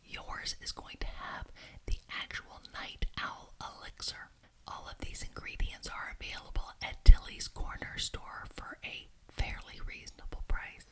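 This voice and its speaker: A woman performing an ASMR roleplay as a genteel, officious witch, speaking in a breathy whisper and deliberately repeating words for emphasis. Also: low-quality recording. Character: genteel, officious witch chairing a neighborhood meeting.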